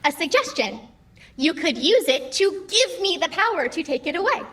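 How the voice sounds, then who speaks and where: A high, lively female computer AI played by an actress for an audio drama. She is cheeky and literal-minded. Her phrasing is formal and precise, while her pitch leaps about expressively. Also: moderate pace, exaggerated voice. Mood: wry.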